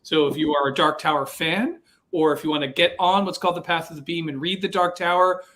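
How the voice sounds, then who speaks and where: A man's voice: fairly high pitch, fairly even intonation, brisk, fairly bright tone. A man speaking casually as a guest podcaster, explaining things conversationally.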